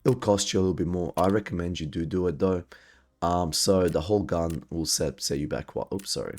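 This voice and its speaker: A man's voice: low-pitched, a little fast, darkish tone. A man hosting a gaming video, giving upbeat, hyped recommendations with frequent ums while sick and losing his voice. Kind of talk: gaming gear guide commentary.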